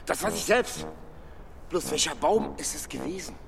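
An actor playing a quarrelsome, dim-witted forest robber in a children's radio play, creaky and blustering. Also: male voice, on the high side, animated, noisy background. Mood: grumpy, irritated. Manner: slow, blustering, bickering.

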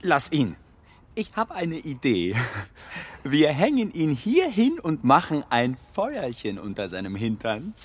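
An actor playing a sly, impatient animal robber in a children's radio play, smooth-talking and scheming. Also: male voice, a little high, huge pitch swings, slow pace, full character voice. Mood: impatient, menacing.

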